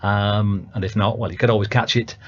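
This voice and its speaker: A man's voice: fairly low pitch, steady tone, a little fast. A man with a Northern English accent, chatting as a guest on a radio show about his song, hesitant and full of ums and restarts. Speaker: songwriter guest. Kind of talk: casual radio chat. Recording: dull lo-fi radio audio.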